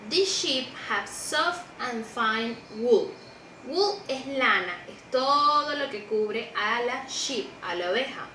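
A young woman teacher with a bright, clear voice and a slow, patient delivery, walking small children through a lesson. She repeats every word twice and keeps checking that they follow. She sounds a little distant, in an echoey room with a faint hiss behind her. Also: on the high side. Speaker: preschool English teacher. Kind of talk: bilingual online class for young children. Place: small room indoors, seated beside a monitor.

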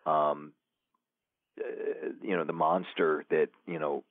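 Low-voiced author guy on a tinny phone line, halting, lots of um and you know, dry sound. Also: fairly even intonation, no echo. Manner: halting, lots of fillers and restarts. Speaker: author guest. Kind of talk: remote interview answers.

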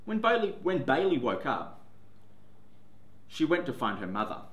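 Male teacher, 40s, slow and animated storybook reading, echoey room. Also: mid-range voice, lo-fi sound. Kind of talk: picture book read-aloud. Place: classroom, indoors. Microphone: camera in front, seated. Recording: echoey classroom.

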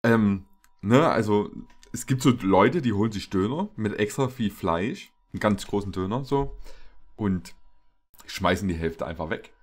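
A young man hosting a reaction video, rambling casually in a halting, repetitive, jokey way. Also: fairly low pitch, lively intonation. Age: young adult. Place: small indoor room with shelves.

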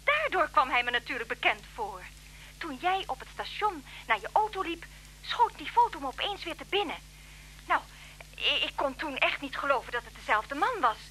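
A woman's voice: sits high, big pitch swings, normal speed, pushed and bright, full character voice. An actress playing an urgent, pleading woman friend on the telephone in a Dutch radio play. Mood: anxious, insistent. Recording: humming, noisy old radio recording.

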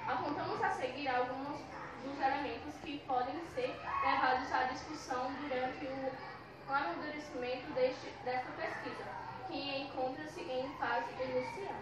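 High-voiced teen girl, distant echoey classroom. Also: steady tone, moderate pace. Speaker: student presenter. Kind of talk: reciting a school presentation. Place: classroom, indoors. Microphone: far camera. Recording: echoey room from far off, loud noise.

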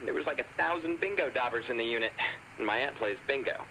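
Lively, bright male bargain-hunter caller. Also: mid-range voice, quick pace, slight background noise, rough recording. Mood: chatty, pleased. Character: chatty, cheerful male bargain hunter calling a radio show. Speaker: radio caller. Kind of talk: radio drama acting in a video game.

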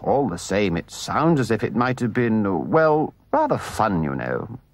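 A man's voice: fairly low pitch, big pitch swings, unhurried, no room sound. A man voicing a timid, loyal mole from a storybook, with a meek, hesitant, stammering way of speaking. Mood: loyal, wistful. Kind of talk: storybook character voice.

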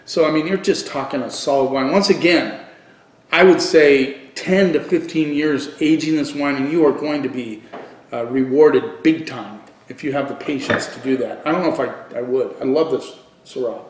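A middle-aged man giving a casual wine review on video, thinking aloud haltingly and repeating words for emphasis. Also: medium pitch. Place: living room at home, indoors. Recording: echoey room.

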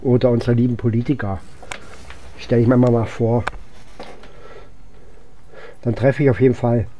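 A man's voice: medium pitch, little pitch movement, moderate pace, warm tone, muffled recording, a little hiss or hum. A man doing casual gameplay commentary, muttering short remarks in a voice weakened by coughing. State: weak voice from coughing. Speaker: gaming video host. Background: game music.

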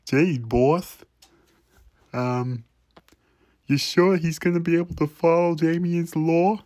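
A man's voice: on the high side, fairly even intonation, slow, a little mellow, no echo, studio quality, voice pushed up. A man playing an awkward, deferential demon henchman in an audio roleplay, hesitant and nervous. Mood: uneasy. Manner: hesitant, bumbling.

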